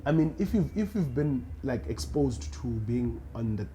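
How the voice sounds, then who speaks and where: A young man with a South African accent, a radio producer chatting casually as a podcast guest, animated and quick to laugh, often restarting his sentences. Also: mid-range voice, a little hiss or hum. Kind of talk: casual interview chat.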